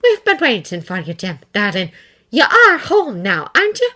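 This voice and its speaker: A woman's voice: mid-range voice, sing-song, normal speed, a bit bright. A woman doing a stern, doting wife. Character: stern but doting middle-aged wife.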